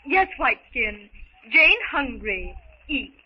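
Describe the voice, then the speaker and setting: Casting: eager young jungle heroine, very high swooping voice, exclamatory 1930s radio acting, muffled sound. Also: female voice, big pitch swings, slow, slight background noise, exaggerated voice. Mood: bright, encouraging. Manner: simple teaching phrases, exclamatory. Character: young American woman stranded in jungle, gentle, eager.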